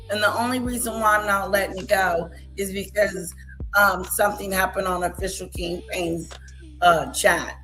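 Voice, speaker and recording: A sassy woman commentating on a clip, her voice bright and forceful. She talks slowly, with a scolding, incredulous edge, and faint music runs under her. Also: medium pitch, rough recording. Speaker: commentary channel host. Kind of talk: reaction commentary on a livestream clip.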